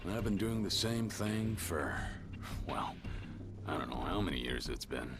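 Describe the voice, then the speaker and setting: A male actor playing a gruff, middle-aged rabbit junk digger in a video game, musing fondly in a folksy, unhurried way. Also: sits low, very animated, full character voice. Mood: content, musing. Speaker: game protagonist and narrator. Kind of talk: scripted video game monologue. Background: background music.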